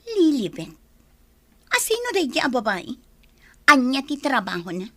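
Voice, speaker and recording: An actress playing a nosy, scheming busybody in a radio drama, with a husky voice and a prying manner. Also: female voice, high voice, huge pitch swings, measured pace, pushed and bright, no room sound, exaggerated voice. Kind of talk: Ilocano radio drama acting.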